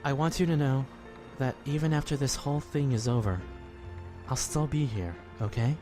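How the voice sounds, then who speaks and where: A man's voice: mid-range voice, moderate pace, dark tone, natural voice. A young man playing a kind, idealistic youth in an audio drama, speaking earnestly and reassuringly. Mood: warm, reassuring. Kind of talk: fan audio drama acting. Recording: music bed behind the voice.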